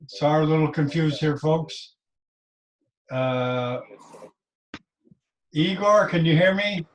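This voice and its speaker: A man moderating an online meeting as host, haltingly calling on participants over a video call. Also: on the high side. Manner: halting, calls on people by name. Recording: noisy video call with clicks.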